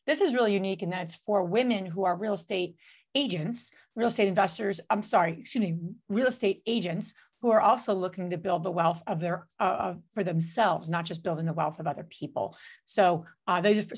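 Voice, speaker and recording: A woman hosting a webinar over a video call, talking casually with many fillers and restarts. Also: fairly low pitch. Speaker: webinar host.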